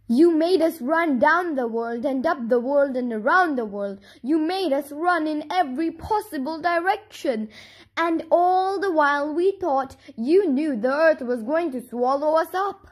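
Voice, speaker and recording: A woman's voice: high-pitched, expressive, unhurried. A woman voicing a crowd of townspeople in a storybook, exclaiming and scolding. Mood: angry. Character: crowd of alarmed, then angry townspeople.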